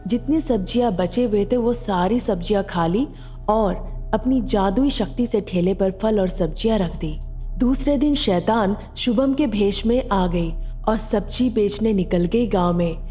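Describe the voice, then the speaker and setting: A woman's voice: medium pitch, lively intonation, a little slow, mellow, long reverb tail, soft background music. A woman narrating a scripted story as a storyteller.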